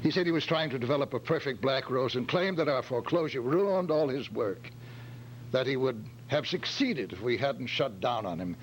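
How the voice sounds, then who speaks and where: A man's voice: medium pitch, expressive, normal speed, natural voice, low-quality recording. A man playing an easily startled, well-off businessman with a hesitant, stammering delivery in an old-time radio detective drama. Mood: anxious recalling. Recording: faint hum and radio-like background noise.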